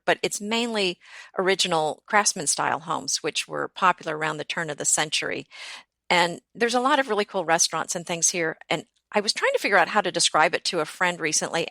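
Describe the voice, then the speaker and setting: A confident middle-aged woman from the United States talks at length in a relaxed podcast conversation. Her voice is on the lower side yet bright and clear, and the recording is close and dry. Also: dry recording. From United States, born in Oklahoma. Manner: explains at length, self-assured and chatty. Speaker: podcast co-host, home decor designer and blogger. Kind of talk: casual chat.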